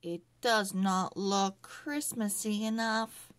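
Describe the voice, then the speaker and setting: A woman voicing an opinionated little girl in a Christmas storybook, with drawn-out, emphatic delivery. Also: mid-range voice, fairly even intonation, natural voice. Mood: dissatisfied.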